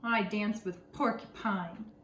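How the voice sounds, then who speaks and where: A woman voicing a mild, concerned male neighbor in a picture book, gentle and matter-of-fact. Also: medium pitch, fairly even intonation, slow, distant mic. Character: mild, concerned male monkey neighbor who points out moods. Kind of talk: picture-book read-aloud. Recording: roomy echo, clear background noise.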